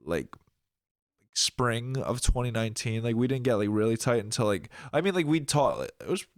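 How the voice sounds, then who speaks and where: A young man talks in a loose, rambling way, with a filler "like" in nearly every phrase and frequent swearing. His nose is stuffed up, which thickens his voice. He sounds relaxed, as if he is chatting with a friend. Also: mid-range voice, moderate pace, no echo, studio quality. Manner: rambling, heavy use of "like", swearing. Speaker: podcast guest, rapper. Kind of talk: casual chat.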